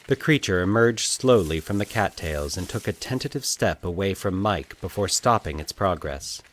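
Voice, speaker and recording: A man narrating a scripted fiction story in a measured, dramatic storytelling manner. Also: low-pitched, expressive, fairly bright tone, poor audio.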